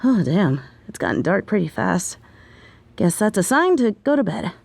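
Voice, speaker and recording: A young adult woman performing a scripted horror narration, telling the story in a hushed, tense manner. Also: medium pitch, very animated, moderate pace, creaky. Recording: very echoey room.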